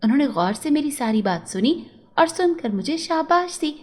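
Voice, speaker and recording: Woman as a high-voiced, clever earnest boy. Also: very animated, moderate pace. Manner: earnest, explanatory.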